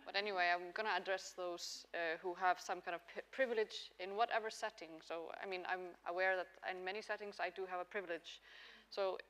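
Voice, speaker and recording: A woman panelist whose first language is Icelandic, speaking earnestly and slowing down to stress her points. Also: fairly low pitch, steady tone, a bit bright. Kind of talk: panel discussion.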